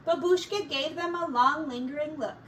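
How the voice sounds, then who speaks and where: A woman with a high voice reads a children's story aloud like a teacher. She takes her time, shaping the phrases expressively and deliberately for small listeners. The recording is a little distant from the microphone, with faint noise behind her. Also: fairly bright tone.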